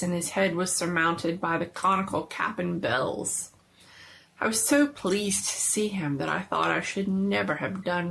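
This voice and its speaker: Female storyteller, low-pitched and gravelly at the edges, theatrical horror narration with noise behind. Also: a bit bright. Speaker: host of a horror story reading series. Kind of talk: dramatic scripted narration of a classic scary story.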